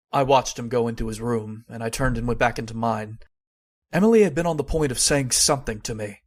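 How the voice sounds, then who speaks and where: A man's voice: mid-range voice, lightly acted. A man acting as an uneasy heir who narrates a mystery in an old-time radio horror style.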